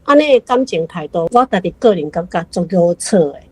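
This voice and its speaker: A woman's voice: on the low side, expressive, brisk, mellow, husky. A woman with a Taiwanese accent giving a prepared, reflective commentary on a story as a guest.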